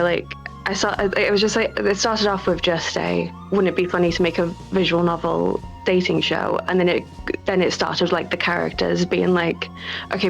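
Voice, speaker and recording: A woman's voice: lowish voice, creaky, dull audio. A woman game developer chatting casually in an interview over an online voice call.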